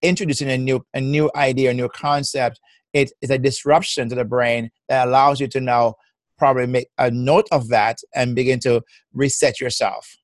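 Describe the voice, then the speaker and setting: A man's voice: medium pitch, little pitch movement, normal speed, dry recording. A man with a Jamaican accent, a personal development coach, speaking as an interview guest in a reflective, encouraging way. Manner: warm, reflective and encouraging, laughs easily.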